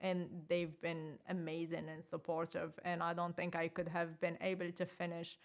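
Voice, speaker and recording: Animated woman guest from Morocco. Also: fairly low pitch, normal speed. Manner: animated, laughs often, lively emphasis. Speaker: podcast guest. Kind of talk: casual interview chat.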